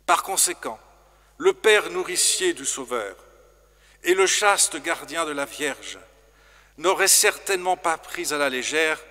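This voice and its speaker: A middle-aged priest gives a formal sermon, speaking very slowly and with great care. His voice is bright and clear, sitting a little high for a man. It rings out through a long church reverb. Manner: slow, measured, formal preaching. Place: large stone church. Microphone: stand microphone in front.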